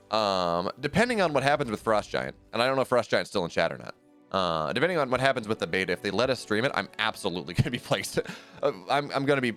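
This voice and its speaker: A man casting a strategy game live, talking casually with his chat between plays and often restarting his sentences. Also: fairly bright tone. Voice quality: deep radio-style voice. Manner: conversational, rambling asides with frequent restarts. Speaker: esports caster.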